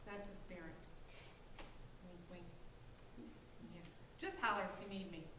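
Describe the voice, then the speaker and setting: A woman's voice: medium pitch, unhurried, natural voice. A woman playing a polite, persuasive ghost girl in a staged play reading. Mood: coaxing. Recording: distant echoey room, clear background noise.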